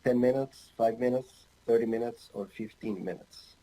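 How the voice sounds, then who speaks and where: Mellow-voiced man from Ecuador lecturing. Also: mid-range voice, slight background noise, poor audio. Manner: halting, many fillers, light humor. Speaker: anesthesiologist presenter. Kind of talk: recorded online medical lecture. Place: home office with bookshelves.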